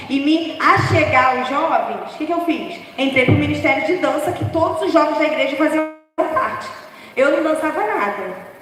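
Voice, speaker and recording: A young woman with a hoarse, high voice preaching a chatty, joking sermon. She is heard from a distance in a big church hall with long, ringing echo and a faint murmur of the room behind her. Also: fairly bright tone, slight background noise. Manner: chatty and humorous, laughing at herself. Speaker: preacher from the dance ministry. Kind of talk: informal sermon. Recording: echoey church hall from far off.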